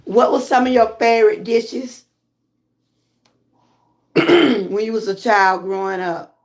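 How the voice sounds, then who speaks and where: A Southern woman from Georgia with a hoarse voice, chatting casually with viewers on a live stream from home. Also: fairly high pitch, slow pace. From Georgia, USA. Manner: relaxed, chatty, greets viewers often. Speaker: lifestyle vlogger and channel host.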